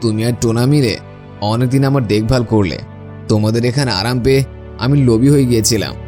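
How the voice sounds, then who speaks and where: A man's voice: mid-range voice, fairly even intonation, fast, mellow, soft background music, close to an everyday voice. A man voicing a needy, idle male crow in a cartoon, speaking in a plaintive, pleading way. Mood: humble, grateful. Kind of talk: scripted cartoon dialogue.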